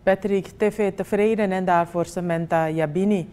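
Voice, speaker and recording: A woman's voice: lowish voice, steady tone, normal speed, mellow. A woman reading scripted news as a presenter, speaking with a Surinamese Dutch accent. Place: TV studio.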